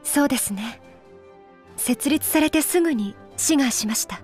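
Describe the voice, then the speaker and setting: A high, youthful female voice as a dignified game princess. She is earnest and composed, with polite, formal phrasing. Soft music plays underneath. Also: animated, unhurried. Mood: dignified, resolute. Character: earnest, dignified young princess leading on the front line. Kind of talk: Japanese video game voice acting.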